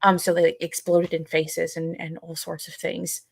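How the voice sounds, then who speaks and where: A woman co-hosting a podcast in casual conversation, speaking in a halting way with frequent pauses. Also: lowish voice, completely flat delivery, gravelly, slightly rough, no room sound. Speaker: podcast co-host.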